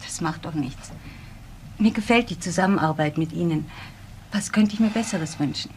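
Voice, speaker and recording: Actress as devoted, low-voiced female assistant. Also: moderate pace, a little mellow, slight background noise, close to an everyday voice. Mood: admiring. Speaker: actress in a crime radio play. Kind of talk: German crime radio play acting.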